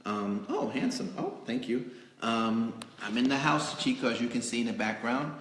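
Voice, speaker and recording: A man hosting a casual live stream, chatting in a rambling, halting way as he fills time. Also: medium pitch, sing-song, a bit bright, slight background noise. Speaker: magazine editor hosting a live interview show. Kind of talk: casual improvised livestream hosting. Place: indoors in a cake studio. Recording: roomy, echoing live stream indoors.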